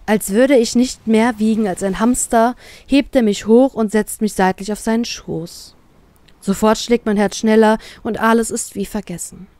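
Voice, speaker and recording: A woman's voice: fairly high pitch, fairly even intonation, moderate pace, darkish tone, no echo. A woman narrating a scripted first-person story as an audiobook. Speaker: narrator of a fan fiction story.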